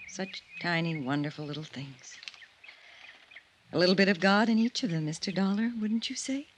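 An actress playing a frail, gentle little woman in a 1950s radio drama, speaking in a wistful, genteel manner. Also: on the low side, moderate pace, slight background noise, lightly acted. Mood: dreamy.

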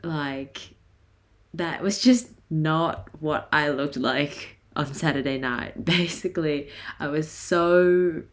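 A woman in her twenties with a hoarse, raspy voice, chatting casually and laughing often as she hosts her podcast. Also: deep voice, takes it slowly. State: hoarse from a night of singing. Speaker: podcast host. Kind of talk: casual solo podcast chat.

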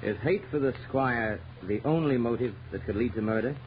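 An actor playing a stubborn police detective in 1930s radio style, speaking with deliberate emphasis. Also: male voice, medium pitch, natural voice. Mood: guarded, suggesting. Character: stubborn police detective airing a bold theory. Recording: noisy, dull 1930s radio recording.

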